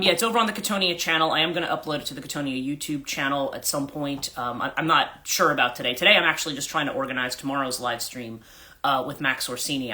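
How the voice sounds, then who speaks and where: Husky congested woman hosting a livestream. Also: pushed and bright. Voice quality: husky, a register lower than usual. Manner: rambling, many fillers, throat clearing, greets viewers. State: congested with allergies. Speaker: life coach hosting a livestream. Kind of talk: casual morning livestream chat.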